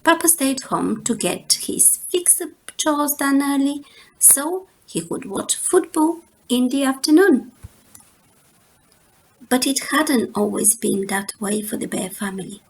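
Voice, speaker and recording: Slow-reading woman narrating a children's story. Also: high voice, expressive, rough recording. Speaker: storytime reader. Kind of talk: children's picture book narration.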